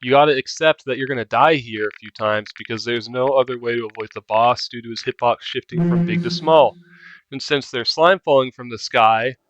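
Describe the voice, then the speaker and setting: A man's voice: medium pitch, brisk, a bit bright, no room sound. A man giving casual game guide commentary as a walkthrough narrator, in a lo-fi recording.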